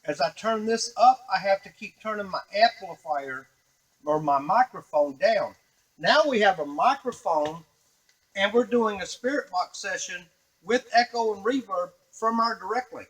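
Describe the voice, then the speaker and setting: A man's voice: high-pitched, unhurried, fairly bright tone, low-quality recording. A man giving a casual, unscripted tutorial on a device, explaining step by step to the viewer as he works. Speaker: device demonstrator.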